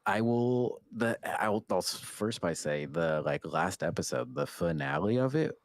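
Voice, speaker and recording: A man with a deep voice talks casually as a podcast co-host. The sound is dry and close to the microphone. He rambles in a halting way, letting thoughts trail off and picking them up again. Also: expressive, no room sound. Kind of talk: casual unscripted TV review chat.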